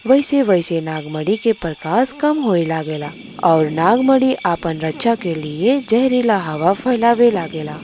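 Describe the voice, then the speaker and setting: A woman's voice: on the low side, noisy background. A woman reading a scripted fairy tale as narrator, in a dramatic storytelling style.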